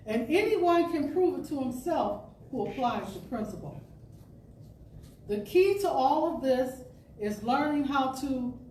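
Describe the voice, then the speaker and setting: Slow, high-voiced woman reading in a roomy space. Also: darkish tone. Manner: deliberate, measured phrasing with emphatic pauses. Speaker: storyteller and speaker at a spiritual center. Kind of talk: expressive reading of an inspirational talk. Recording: distant roomy pickup with background noise.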